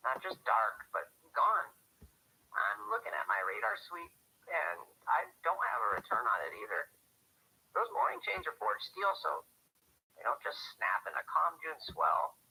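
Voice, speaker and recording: An actor playing a harried small-town harbor office worker in a radio drama, chatty and fretful on a telephone. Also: male voice, fairly high pitch, creaky, sharp tone, a little hiss or hum, full character voice, poor audio. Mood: insistent, worried. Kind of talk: radio drama phone call.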